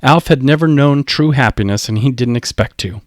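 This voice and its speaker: A man's voice: mid-range voice, normal speed, no echo, studio quality. A man narrating an audiobook, reading a scripted story expressively.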